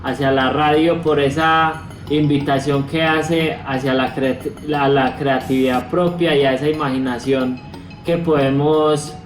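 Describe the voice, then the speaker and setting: A man from Antioquia with a Colombian accent, giving an earnest testimonial in a measured, formal manner. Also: mid-range voice, fairly even intonation, soft background music. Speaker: viewer testimonial speaker, writer. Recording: roomy, echoing, distant from mic.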